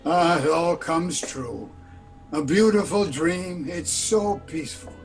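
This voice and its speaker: An actor playing a timid, weary crooked doctor in a radio play, hesitant and pleading as a villain's sidekick. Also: male voice, fairly high pitch, slow, creaky, faint music behind. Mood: relieved, dreamy. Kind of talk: old-time radio play acting.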